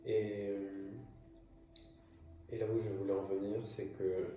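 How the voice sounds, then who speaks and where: A man from France gives a slow, hesitant talk full of fillers and restarts, with a low, flat voice. His tone is dark and mellow. He sits back from the microphone, and the room rings with heavy echo. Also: far from the mic, a little hiss or hum. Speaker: video creator. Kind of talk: improvised casual monologue. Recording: echoey room, voice from afar.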